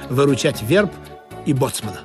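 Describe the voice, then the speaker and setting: An actor narrating a humorous radio play as a droll sailor, with expressive mock-solemn delivery. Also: male voice, mid-range voice, normal speed, lightly acted. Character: narrating sailor, droll teller of absurd sea voyage. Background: music behind the voice.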